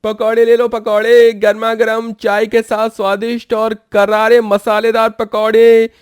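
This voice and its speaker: A man acts out a poor young street-food seller in a cartoon moral tale. His voice sits fairly high and is recorded dry and close. He is earnest and plaintive, with melodramatic delivery. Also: monotone, unhurried, smooth, no echo. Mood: loud hawking. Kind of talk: Hindi cartoon moral story acting.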